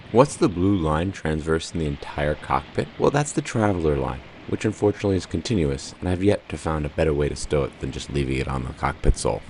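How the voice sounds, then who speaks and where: A man with a very deep voice narrates a scripted voice-over about sailing. He keeps an even, measured delivery, lightened by dry, wry asides, and his pitch moves freely across a wide, lively range. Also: a little hiss or hum. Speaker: solo sailor and channel host.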